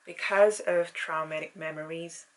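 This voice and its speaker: A woman in her thirties, born in South Korea with Korean as her first language, gives a slow, earnest, scripted personal narration in English. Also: low-pitched, fairly even intonation, a little hiss or hum, poor audio. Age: 30s. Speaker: vlogger.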